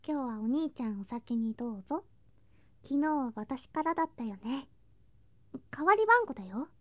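A woman playing an affectionate, playful younger girl cousin in an intimate ASMR voice drama, speaking softly and coaxingly and slipping into whispers. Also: high voice, animated, normal speed, no room sound. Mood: cheerful, pottering. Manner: soft, sweet, coaxing, drifting into whispers and singsong. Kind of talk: ASMR situation voice drama, intimate roleplay to listener.

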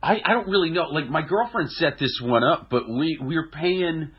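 A young man with a cold and a nasal voice, chatting casually on a podcast with dry deadpan jokes. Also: fairly high pitch, normal speed, husky. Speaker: podcast co-host. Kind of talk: casual chat. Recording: dull lo-fi audio.

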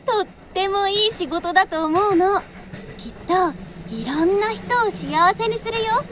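Woman acting an eager, high-pitched, lively schoolgirl. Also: unhurried, slight background noise, heavily acted. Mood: encouraging. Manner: bright insistence, coaxing. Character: eager, persuasive high school girl, self-appointed manager. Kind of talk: audio drama acting.

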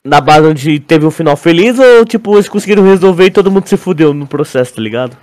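A young Brazilian man with a fairly high voice talks casually on a podcast. His delivery is informal and slangy, with halting phrases as he searches for what to say. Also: animated, a little mellow. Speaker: podcast co-host. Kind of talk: casual chat.

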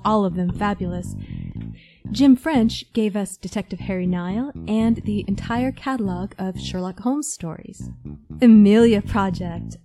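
A woman's voice: medium pitch, mellow. A woman reading a scripted review in an articulate, enthusiastic manner. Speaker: listener reviewing favourite audio dramas. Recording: guitar music behind. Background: faint plucked guitar music.

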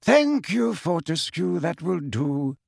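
Man voicing a calm elderly headmaster, quiet and soft, dry close sound. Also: fairly high pitch, slow, creaky, no echo, pitched above the performer's own voice, exaggerated voice. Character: calm, soft-spoken old male headmaster.

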